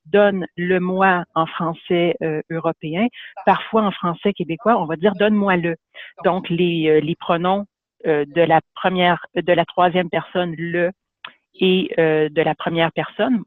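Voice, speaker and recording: A man's voice: sits high, dry recording. A man with a Canadian French accent giving a webinar lecture, hesitant, with many fillers and restarts. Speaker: webinar presenter. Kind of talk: lecture for an online audience. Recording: phone-like dry webinar audio.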